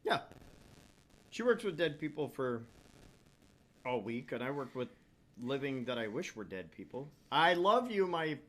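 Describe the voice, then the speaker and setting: A man's voice: mid-range voice, big pitch swings, unhurried, long pauses, fairly bright tone. A man from Texas hosting a gaming livestream with casual, joking banter, sometimes stumbling over words. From Texas, United States. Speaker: gaming channel host.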